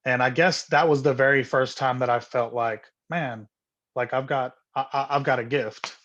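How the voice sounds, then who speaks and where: Man, middle-aged, from Honduras, guest singer, mid pitch, earnest, relaxed, lots of ums, video call. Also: moderate pace, highs cut off. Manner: relaxed and earnest, full of "um" and "uh", repeats words. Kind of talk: casual interview storytelling.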